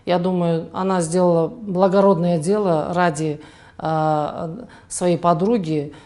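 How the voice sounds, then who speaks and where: A middle-aged woman, a fertility doctor, answering interview questions in an unhurried way with frequent hesitations. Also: fairly low pitch, steady tone, mellow. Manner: unhurried, many hesitation sounds.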